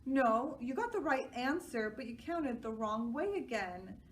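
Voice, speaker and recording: A woman's voice: a little high, animated, measured pace. A woman voicing a firm but patient female schoolteacher in a children's storybook, emphatic and instructive. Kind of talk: children's storybook read-aloud. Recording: distant mic, clear background noise.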